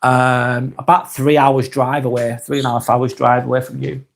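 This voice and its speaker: A man from Lancashire with a Lancashire accent, hosting a casual live stream and reading viewer comments aloud in a soft, hesitant way. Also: medium pitch, level tone, moderate pace, creaky. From Lancashire, England.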